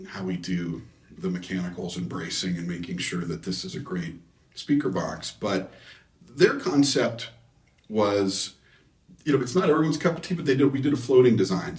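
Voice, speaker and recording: Low-voiced man explaining, in an echoey room. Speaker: loudspeaker designer being interviewed. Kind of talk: casual technical interview talk. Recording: echoey room, distant microphone.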